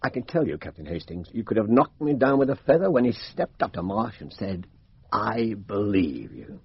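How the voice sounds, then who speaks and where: An actor playing a blunt, down-to-earth British police inspector in a radio mystery, speaking colloquially and with stubborn confidence. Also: male voice, on the low side, very animated, normal speed, gravelly, slightly rough, dry recording, exaggerated voice. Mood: wryly baffled. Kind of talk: radio drama acting. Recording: dull, dry radio drama recording.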